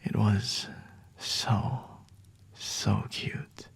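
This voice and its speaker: A man playing a flirty, aloof, high-ranking vampire in an ASMR roleplay, speaking in a breathy, hushed whisper close to the mic with haughty, seductive pauses. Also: little pitch movement, exaggerated voice. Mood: teasing, smug. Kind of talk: ASMR roleplay, scripted monologue to the listener. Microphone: close-up microphone.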